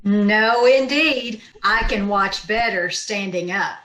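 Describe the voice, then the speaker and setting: A woman playing a wry, quick-witted schoolteacher in old-time radio comedy style, with dry wisecracking delivery. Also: medium pitch, fairly bright tone. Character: wry, quick-witted female high school English teacher. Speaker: amateur radio troupe actor. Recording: roomy, echoey home video-call audio.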